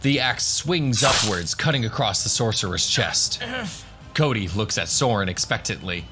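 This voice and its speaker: A man's voice: fairly low pitch, lively intonation, moderate pace, over music. A man narrating a scripted fantasy adventure as the storyteller of an audio drama. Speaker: narrator, game master.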